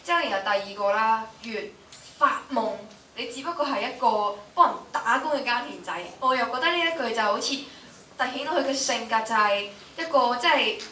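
A young woman with a Hong Kong Cantonese accent explains her answer as a student would. Her voice is high and bright. It comes from a distance in an echoey hall. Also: fairly quick, a little hiss or hum. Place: large lecture hall, among the audience.

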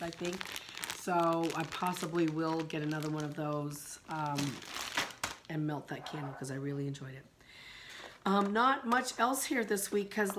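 A middle-aged woman, hoarse from a sinus infection, chatting casually about candles in her living room, rambling with many ums and restarts. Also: on the low side, a bit bright, slight background noise. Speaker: vlogger. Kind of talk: casual chat reviewing candles.

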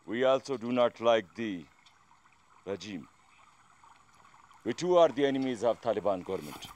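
An actor playing a calm male rebel commander who questions a captive in a measured way. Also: on the low side, natural voice. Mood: probing. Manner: measured, interrogating. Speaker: armed group leader questioning a captive.

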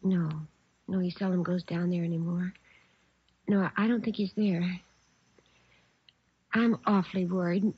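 A woman's voice: on the low side, level tone, a little slow, pauses often, slightly rough, no room sound. An actress playing a worried, restrained American mother in her forties, polite and hesitant, in a 1950s radio drama style. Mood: uneasy. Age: 40s. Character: worried mother in her early forties, holding herself together. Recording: muffled vintage radio recording.

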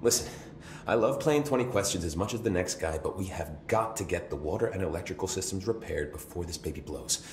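A man's voice: low voice, expressive, fairly quick, hardly any pauses, a little hiss or hum. A man voicing a young security chief, wisecracking and chuckling in a casual way. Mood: wry. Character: young male security chief, wry, jokey. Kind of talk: video game cutscene. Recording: echoey room.

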